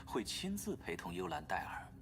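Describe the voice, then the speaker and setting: An actor playing an urbane, scheming leader and scientist in a video game, speaking formally and with theatrical self-assurance. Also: male voice, deep voice, wildly swooping pitch, moderate pace, vocal fry, heavily acted. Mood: self-assured, formal. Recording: loud music behind the voice. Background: game music.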